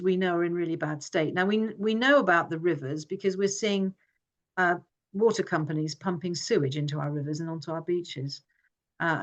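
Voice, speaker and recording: A woman's voice: on the low side, dull audio. A woman who grew up in Hong Kong, giving an earnest forum talk with frequent fillers and restarts. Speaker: forum speaker. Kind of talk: informal presentation.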